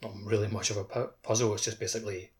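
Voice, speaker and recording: A man's voice: lowish voice, flat delivery, fast talker, slight background noise. A man co-hosting a podcast, chatting casually about video games. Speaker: podcast co-host. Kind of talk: casual game chat.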